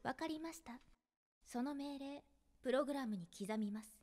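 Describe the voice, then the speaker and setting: Woman acting a coolly formal android girl, high voice. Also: moderate pace, long reverb tail, natural voice. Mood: calm, detached. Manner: formal, flat, clipped robotic phrasing. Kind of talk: anime visual novel acting.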